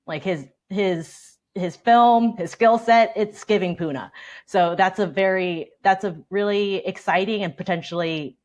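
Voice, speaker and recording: A woman's voice: mid-range voice, measured pace, a little mellow, highs cut off. A woman discussing sports as a panel reporter, in an informal, slangy, conversational style.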